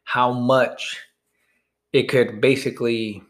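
A man's voice: mid-range voice, little pitch movement, slow. A man co-hosting a podcast in casual chat, rambling and frank, swearing freely as he thinks aloud. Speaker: podcast co-host.

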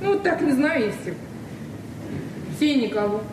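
Cheeky young female student, breezy, slangy; very high voice, echoey noisy radio drama. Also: expressive, moderate pace, recorded from a distance. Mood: offhand, defensive. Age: young adult. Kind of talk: radio play acting. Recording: echoey, noisy 1970s radio play recording.

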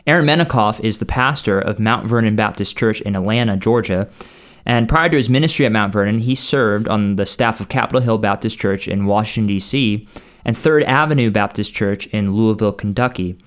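A man's voice: on the low side, fairly quick. A man speaking as a podcast host, interviewing a guest in a friendly, conversational way.

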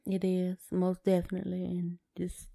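Creaky female voice, middle-aged, dry close sound, colloquial podcast chat. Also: fairly low pitch, monotone, moderate pace, dark tone, no room sound. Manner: colloquial, conversational. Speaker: podcast co-host. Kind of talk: casual chat.

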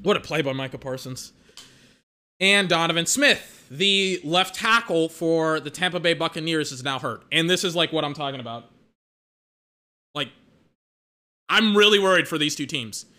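Bright-voiced excitable young football commentator. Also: male voice, a little high, moderate pace, pauses often. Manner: excitable, peppered with filler words and mock voices. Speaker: watch-along football commentator. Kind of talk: casual live play-by-play and analysis.

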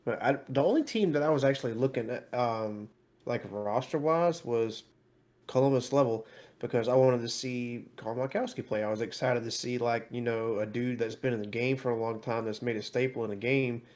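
A man's voice: mid-range voice, normal speed, darkish tone. A male podcast co-host in casual chat, laid-back and full of fillers.

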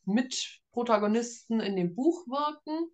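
A woman's voice: fairly high pitch, animated, unhurried, darkish tone. Chatty German woman in her early thirties. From Schwerin, Germany. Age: early 30s. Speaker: interview guest, author. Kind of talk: casual conversational interview.

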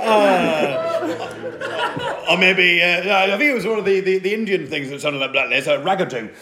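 A man's voice: high voice, lively intonation, moderate pace. A man with an English accent hosting a live folk show, joking and laughing as he stumbles playfully over his words. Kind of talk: joking live stage chat. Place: live venue with audience. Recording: roomy live stage sound with crowd.

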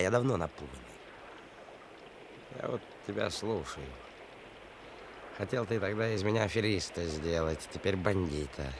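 An actor playing a defiant, jittery young thief in a radio drama, stubborn and streetwise. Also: male voice, sits low, noisy background.

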